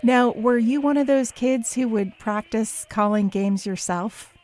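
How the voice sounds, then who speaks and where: A woman hosting a radio show, reading a scripted introduction and asking interview questions in a measured way. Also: a little high, darkish tone, a little hiss or hum.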